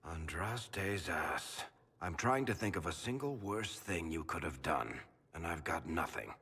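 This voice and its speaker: A gruff, crude companion in a fantasy game. His deep, raspy voice is forceful and deadpan with sarcasm, echoing in a hall. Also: a little slow, full character voice. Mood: scornful. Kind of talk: video game cutscene acting. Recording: long hall reverb.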